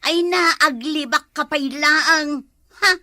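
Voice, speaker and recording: A middle-aged woman with an Ilocano accent, acting in a comedy drama, loud and emotional as a wife who suspects her husband. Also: high-pitched, takes it slowly, no room sound, clean studio sound.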